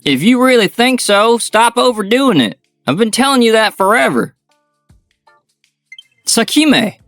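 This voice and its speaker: A man voicing a young surgeon in a manga dub, talking in a firm, scolding but concerned way, in a higher voice than his own. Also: animated, measured pace, fairly bright tone, no room sound, soft background music, heavily acted. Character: firm, caring young male surgeon friend.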